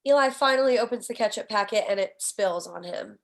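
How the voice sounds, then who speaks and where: A woman's voice: medium pitch, fairly quick, vocal fry. A woman reading stage directions as scripted narration at a screenplay table read.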